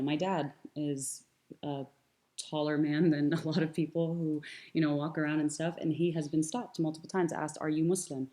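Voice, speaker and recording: Low, mellow-voiced young American woman. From United States. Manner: earnest, thoughtful, many fillers and restarts. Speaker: guest speaker. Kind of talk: conversational panel talk.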